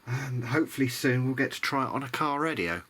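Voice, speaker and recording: A man's voice: medium pitch, expressive, fairly quick, a bit bright. A British man giving a casual, muttering commentary as he works on a repair, mildly grumbling and self-deprecating. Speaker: hobbyist electronics video diarist.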